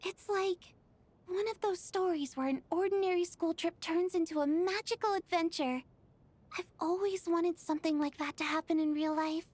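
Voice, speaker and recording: A woman voicing a sweet, frail, dreamy young sister in a video game, with a gentle, wistful manner. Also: very high voice, moderate pace, no echo. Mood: dreamy excitement. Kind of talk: video game character dialogue.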